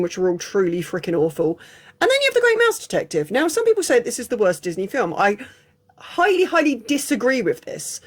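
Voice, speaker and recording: An English woman chatting casually through an unscripted film review, frank and prone to digressions. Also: a little high. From England. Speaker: film reviewer, channel host.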